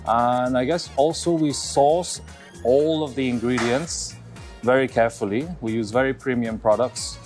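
A man's voice: mid-range voice, steady tone, normal speed, darkish tone, soft background music. Man from Hong Kong speaking to camera. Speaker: restaurant co-founder and chef. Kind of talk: to-camera interview segment. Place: restaurant dining room. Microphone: lapel.

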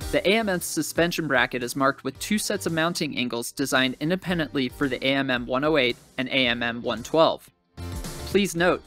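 A man reading scripted instructional narration for a training video in a measured, clearly enunciated, formal way. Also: mid-range voice, fairly bright tone. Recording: music bed under voice-over. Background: background music.

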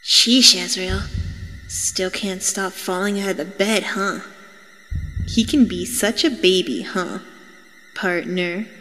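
A woman voicing a sinister, mocking demonic child in an animated comic dub, taunting with drawn-out menace. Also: medium pitch, slight background noise. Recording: long reverb over music.

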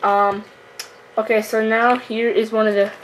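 A young woman talks through fishing gear in a casual vlog style. Her delivery is slow and halting with very little melody. The amateur camera recording has clear background noise. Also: on the high side, little pitch movement. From Canada. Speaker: fishing vlogger. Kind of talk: casual product tour. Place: room at home with wooden floor. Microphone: camera microphone. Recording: noisy amateur camera recording, handling clicks.

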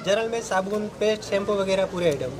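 A man's voice: high voice, fast talker, crowd noise. A young man, the shop owner's brother, explaining at length into a handheld news mic outdoors at night, rambling and repeating himself. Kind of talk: on-the-spot interview. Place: outdoors on a busy street at night. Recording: loud street and traffic noise.

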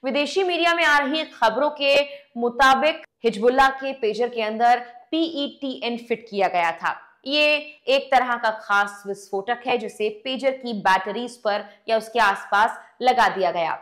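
A woman's voice: on the high side, a little slow, few pauses, fairly bright tone. A woman in her thirties presenting a scripted news explainer, recorded on a lapel mic in a studio. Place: indoor studio.

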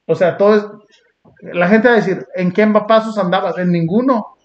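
A man's voice: high-pitched, normal speed. Earnest man. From Long Beach, California. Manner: earnest, reflective, slangy and blunt. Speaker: podcast host. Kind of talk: casual reaction chat. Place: small dark indoor studio set, seated. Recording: muffled lo-fi sound.

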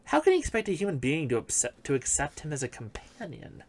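A man voicing a spoiled young princess in a fairy tale read aloud, pitching up high and eager. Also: wildly swooping pitch, fast talker, a little mellow, exaggerated voice. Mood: scornful.

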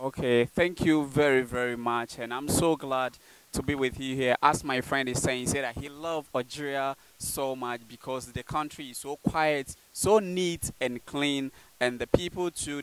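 A man's voice: mid-range voice, a little hiss or hum, poor audio. A young man hosting a street interview vlog, speaking emphatically with a handheld microphone. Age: young adult. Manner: animated, emphatic, gestures while talking to camera. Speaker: street interviewer and channel host. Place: outdoors on a campus walkway; indoor intro before a red curtain.

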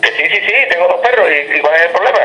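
A man's voice: high voice, animated, racing, sharp tone. A man answering an unexpected phone call in conversation, puzzled, repeating himself and asking questions. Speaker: prank call recipient.

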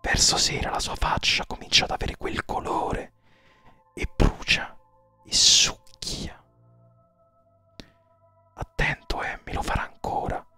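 A man voicing a dying, decaying farmer in a horror story, whispering halting, broken warnings in a breathy, cracked voice. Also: pushed and bright, faint music behind. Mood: anguished, delirious. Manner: halting, broken, repetitive phrases.

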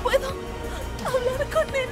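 Very high-pitched anxious robot girl. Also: fairly even intonation, takes it slowly. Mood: distraught. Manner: hesitant, self-conscious. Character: awkward, insecure teenage robot girl, anxious and moody. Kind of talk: animated fandub acting. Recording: loud music over the voice. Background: loud music.

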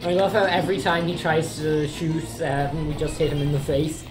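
A woman's voice: deep voice, level tone, normal speed, low-quality recording. A woman chatting casually and joking dryly as she co-hosts a gameplay video. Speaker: gaming video co-host and player. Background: loud video game music.

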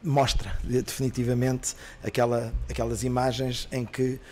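Middle-aged man presenting, halting and animated. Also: mid-range voice, a little hiss or hum. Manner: animated, many fillers and restarts. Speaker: festival programmer. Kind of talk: outdoor press presentation. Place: outdoor park, under trees. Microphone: podium microphone.

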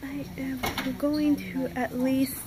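Young woman, high-pitched, slow halting delivery, casual handheld convention vlog, repetitive, noisy phone recording. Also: flat delivery. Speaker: convention vlogger. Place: hotel corridors, elevator and lobby, indoors. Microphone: phone at arm's length.

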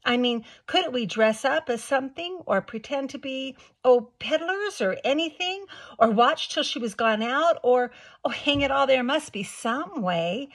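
A woman's voice: high voice, lively intonation, pitched above the performer's own voice. Woman doing an eager young boy. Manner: rushed, stumbling speech. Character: eager, impulsive schoolboy.

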